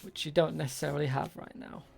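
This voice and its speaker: A game master with a creaky voice and a hesitant delivery, improvising tabletop roleplay as a shopkeeper. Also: medium pitch, steady tone, quick pace, darkish tone.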